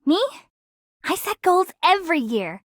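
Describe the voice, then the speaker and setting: Eager, high-pitched young explorer. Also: female voice, very animated, unhurried, no room sound, studio quality, exaggerated voice. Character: eager, goal-driven young female explorer. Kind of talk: scripted game character greeting.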